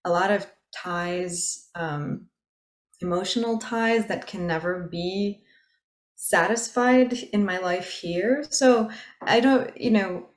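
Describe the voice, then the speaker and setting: A woman's voice: mid-range voice, slow, echoey room. A woman speaking on a panel in a reflective, hesitant way, with many fillers. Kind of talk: panel discussion.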